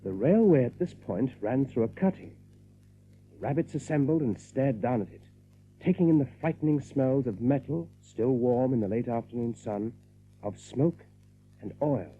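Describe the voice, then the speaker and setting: A man's voice: medium pitch, expressive, normal speed, mellow, slight background noise. A man reading scripted story narration as the narrator of an audiobook drama. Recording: narrow, phone-like audiobook recording.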